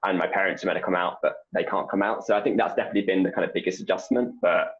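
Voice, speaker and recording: Young English man, very low voice, easygoing and rambling, muffled lo-fi call audio. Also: racing. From England. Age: young adult. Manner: relaxed, rambling with many fillers and restarts. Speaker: postdoc researcher guest. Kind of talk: casual interview answers.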